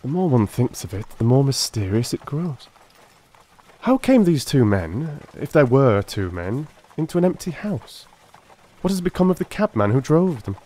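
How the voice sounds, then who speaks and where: A man giving a dramatic, deliberate reading of Victorian detective fiction as an audiobook narrator. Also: mid-range voice, sing-song, mellow. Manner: theatrical, deliberate.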